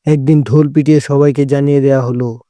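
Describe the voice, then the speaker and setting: A man's voice: medium pitch, flat delivery, brisk, mellow, no room sound, studio quality, faint music behind. A man narrating a scripted cartoon fable as a storyteller.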